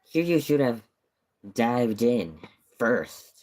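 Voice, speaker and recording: A male streamer reacts live to a news clip in his usual pitch. He sounds incredulous and answers the footage back with sharp questions, as if the reporters could hear him. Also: very animated, slow pace.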